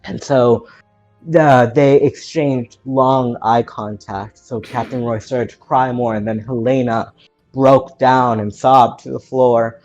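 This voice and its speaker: A man's voice: medium pitch, fairly even intonation, slow, a little mellow, soft background music. Muffled-sounding man recapping a game story. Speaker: tabletop roleplaying player.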